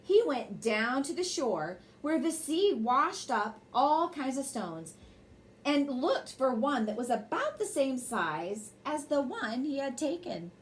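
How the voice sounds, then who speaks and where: A woman's voice: high voice, sing-song, takes it slowly, a little hiss or hum. A woman reading a children's bedtime story aloud expressively, with brief asides to her young listeners. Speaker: storybook reader and host.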